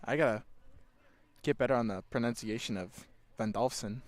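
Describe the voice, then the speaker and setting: A man's voice: mid-range voice, moderate pace, no room sound. Casting: Californian male commentator with a mid-range voice and a dry, close sound, laid-back and conversational. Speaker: college soccer commentator. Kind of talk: play-by-play commentary.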